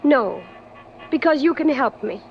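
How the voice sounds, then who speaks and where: A woman's voice: sits high, animated, a little slow, creaky, full character voice. An actress playing a slick, nervy young female fur thief in 1940s radio drama, on a muffled, noisy recording. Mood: anxious, pleading.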